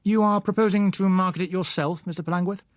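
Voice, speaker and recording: A man's voice: sits high, steady tone, quick pace, no room sound, studio quality, voice pushed up, close to an everyday voice. A man voicing a cautious, urbane managing director in an audiobook, formal, reflective and guarded. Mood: guarded interest. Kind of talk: audiobook character voice.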